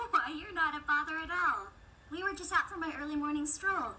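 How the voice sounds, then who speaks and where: A storybook girl doll, acted by a woman, with a high, bright voice. She is sweet and over-polite in an eerie way, slightly off the mic over faint music. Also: moderate pace, recorded from a distance, a little hiss or hum. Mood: sugary polite. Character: sugary, eerie girl doll with sinister intent.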